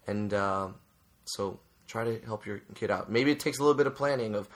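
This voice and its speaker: A man's voice: on the low side, brisk. A man who coaches youth soccer, co-hosting a casual advice chat, earnest and growing emphatic as he makes his points. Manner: earnest, rises to emphatic when making a point; frequent fillers and restarts.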